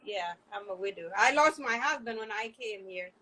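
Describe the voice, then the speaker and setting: Bright-voiced friendly woman in her forties. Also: mid-range voice, animated, moderate pace, poor audio. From Africa. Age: 40s. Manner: friendly, laughs easily, says girl and child. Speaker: dating show guest. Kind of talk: casual interview.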